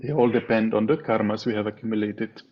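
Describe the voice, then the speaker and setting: Husky low-voiced man guiding a meditation. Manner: measured, pausing between short phrases. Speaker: meditation teacher. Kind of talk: guided meditation instruction. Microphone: video call microphone. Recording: slightly dull, lo-fi video call audio.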